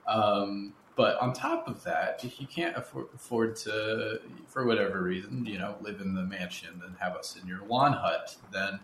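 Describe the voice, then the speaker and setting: A man with a slightly low voice talks as a podcast host, articulate and reflective in a conversational way. The recording is roomy and echoey, with some background noise behind him. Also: moderate pace, hardly any pauses, a bit bright. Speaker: podcast host and fiction creator. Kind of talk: conversational interview talk.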